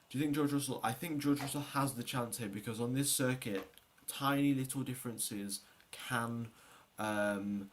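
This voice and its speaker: A man with a mid-pitched voice hosts a livestream in a casual, chatty way. He speaks to his viewers and gives race preview commentary, rambling a little, doubling back on words and letting sentences trail off. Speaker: livestream host.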